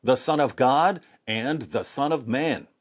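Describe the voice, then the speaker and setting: A man's voice: medium pitch, measured pace. A man giving polemical religious commentary with scripture readings, in an emphatic, sarcastic and theatrical way.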